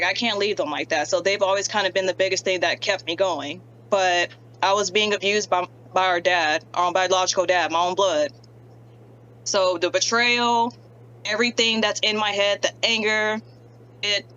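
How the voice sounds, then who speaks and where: A woman's voice: mid-range voice, muffled recording, slight background noise. A woman in her early twenties, a streamer, speaking frankly and steadily as an interview guest. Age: early 20s. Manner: frank, plain-spoken, steady. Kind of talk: candid interview talk.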